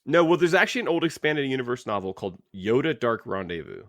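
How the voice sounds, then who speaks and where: A man co-hosting a casual book club podcast, talking in an animated, rambling, joking way. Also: mid-range voice, quick pace, dry recording, studio quality. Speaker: podcast co-host.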